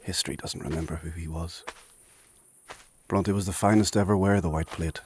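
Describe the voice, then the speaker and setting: A man playing an ancient, proud and solemn warrior in a dark fantasy audio drama, speaking formally and gravely. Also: fairly even intonation, brisk, a little hiss or hum, close to an everyday voice. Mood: wistful, grave. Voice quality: husky, very deep.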